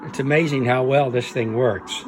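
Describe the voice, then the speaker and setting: A man giving an informal, unscripted demonstration as a hobbyist, explaining haltingly and hesitantly with trailing phrases. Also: medium pitch, steady tone, over music. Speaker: radio restoration hobbyist demonstrating a restored set.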